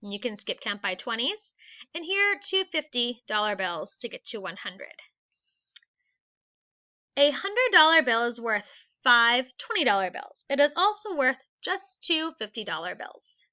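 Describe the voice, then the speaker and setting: A woman's voice: on the high side, big pitch swings, a little slow, bright, forceful tone, muffled recording. A woman teacher reading aloud a lesson for young children in an instructional manner, explaining things step by step. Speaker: elementary school teacher.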